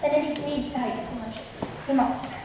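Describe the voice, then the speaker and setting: A woman's voice: high voice, steady tone, brisk, mellow, natural voice. A woman in a student drama club playing a scheming young noblewoman and bride-to-be on stage. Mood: scornful. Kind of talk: amateur stage acting. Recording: very echoey hall, distant mic, faint hiss.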